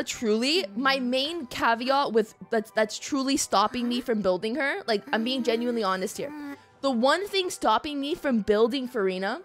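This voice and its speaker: A woman streamer chatting casually and playfully about a video game, expressive and prone to giggling. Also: fairly high pitch. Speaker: streamer, host. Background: music.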